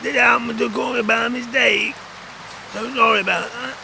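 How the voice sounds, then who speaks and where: An actor voices a sardonic bloke who loves to needle people. The voice is strained, extremely high and full of creak, in a comedy audio drama with noisy rain behind. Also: male voice, fairly even intonation, moderate pace, exaggerated voice. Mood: sarcastic. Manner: sarcastic. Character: sardonic, needling male workmate who has lost his voice. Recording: clearly noisy, rain-like background.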